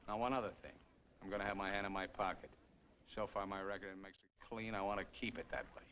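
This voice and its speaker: Young American film noir killer, acted by a man, curt and taunting, low voice, noisy old soundtrack. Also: little pitch movement, fast, a bit bright, natural voice. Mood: threatening. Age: 20s. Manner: curt, commanding, threatening. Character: menacing, taunting young male killer holding captives at gunpoint. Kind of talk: 1950s film noir acting.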